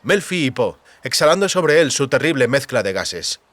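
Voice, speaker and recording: A man's voice: medium pitch, very animated, normal speed, fairly bright tone, no room sound. A man narrating a fantasy novel as a scripted literary audiobook.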